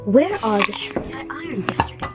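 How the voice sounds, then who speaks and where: A woman's voice: high voice, very animated, brisk, over music. A woman playing a troubled, jealous young farmer's wife in an amateur radio drama, in a restrained manner. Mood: guarded.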